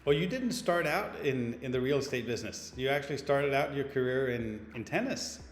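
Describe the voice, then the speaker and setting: A man's voice: mid-range voice, lively intonation, a little fast, slight background noise. Male interview host asking polite, formal questions; roomy sound with a long reverb tail and faint music.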